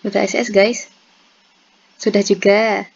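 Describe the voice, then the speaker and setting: A woman's voice: mid-range voice, little pitch movement, a little slow, creaky, slightly rough. Woman moderating over dull call audio. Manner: repeats phrases after the tutor, relays questions. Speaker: club moderator. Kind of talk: moderating an online class.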